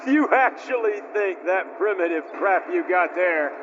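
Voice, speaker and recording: An actor playing a cocky, sadistic mercenary enforcer in a video game, drawling and taunting. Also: male voice, sits high, animated, a little slow, background noise. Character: sadistic, cocky male mercenary enforcer who relishes killing. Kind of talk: cinematic video game acting. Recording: muffled audio under loud music.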